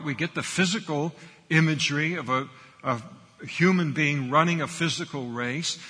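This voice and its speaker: A man's voice: a little high, sharp tone. A man preaching a sermon as pastor, earnest and explanatory, with touches of dry humor. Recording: long hall reverb.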